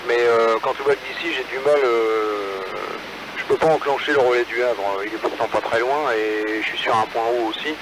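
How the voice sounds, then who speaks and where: Man, mid-pitched voice, amateur radio operator, colloquial hesitant talk, radio transmission from a car, noisy background. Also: steady tone, moderate pace. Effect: two-way radio transmission. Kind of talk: casual radio chat between hams. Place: in a car, mobile. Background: radio and vehicle noise.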